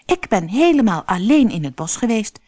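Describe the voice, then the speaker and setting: A woman's voice: mid-range voice, very animated, normal speed, a little mellow, dry recording, studio quality, pitched above the performer's own voice. A woman voicing a small, wistful and proud female rag doll in a children's storybook, talking in simple childlike sentences.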